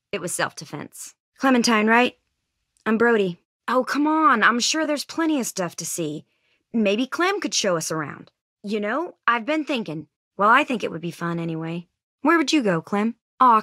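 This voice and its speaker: A woman's voice: medium pitch, bright, forceful tone, dry recording, studio quality. A woman playing an earnest, anxious teenage girl survivor in a video game, friendly and folksy but hesitant and weighed down by guilt. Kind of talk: naturalistic video game voice acting.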